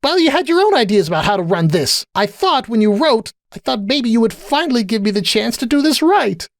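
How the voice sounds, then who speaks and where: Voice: medium pitch, very animated, brisk, dry recording, clean studio sound, voice pushed up. A performer voicing an indignant young male pony who protests earnestly and pleads. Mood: pleading.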